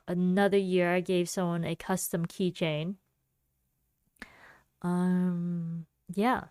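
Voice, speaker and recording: A young woman talks alone in an easygoing, rambling way, giggling often and leaving lots of fillers. Her pace is slow and unhurried, her voice sits a little low, and the sound is dry and close with no room around it. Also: clear, resonant tone, no room sound. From United States. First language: Vietnamese. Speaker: podcast host. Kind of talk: casual solo chat.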